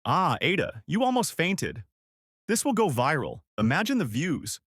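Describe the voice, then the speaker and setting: A man playing a gloating, animated young prankster. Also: on the high side, a little slow, slightly rough, no room sound, clean studio sound, pitched above the performer's own voice, full character voice. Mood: gloating, teasing. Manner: excited, boastful, teasing. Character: grinning young male prankster, theatrical, gloating and flippant.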